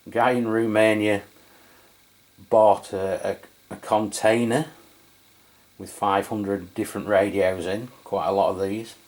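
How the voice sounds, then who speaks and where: A middle-aged man with an English accent presents a casual review. His voice sits fairly low and he speaks very slowly. The delivery is conversational and a little hesitant, as he feels his way through each point. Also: darkish tone. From England. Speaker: radio review channel host. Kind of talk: casual product review commentary.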